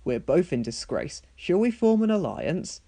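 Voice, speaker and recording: A man's voice: a little high, big pitch swings, a little slow, gravelly, dark tone, voice pushed up, full character voice. A man voicing a pompous, sulky male steam engine in a storybook read-along, with a grand, haughty manner. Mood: grand, friendly.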